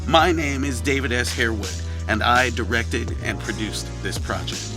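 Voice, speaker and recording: A man, the director and producer, reading scripted closing credits in a measured, formal way with playful touches. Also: medium pitch, over music.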